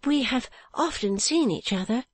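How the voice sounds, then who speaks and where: A woman voicing a gentle, small, childlike young woman from a classic novel, meek yet brave, earnest and polite. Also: a little high, animated, normal speed, slightly rough, no echo, pitched above the performer's own voice. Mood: polite, timid. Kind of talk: dramatized audiobook reading of a classic novel.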